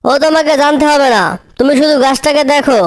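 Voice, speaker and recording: A woman's voice: sits high, steady tone, quick pace, natural voice. A woman voicing a bossy eagle uncle, a cartoon villain with a commanding manner. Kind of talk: children's cartoon story dialogue.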